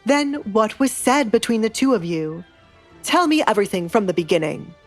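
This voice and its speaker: A woman's voice: mid-range voice, lively intonation, normal speed, faint music behind, natural voice. A woman voicing a stern, controlling middle-aged noble father in an audio drama, curt and commanding. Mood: demanding.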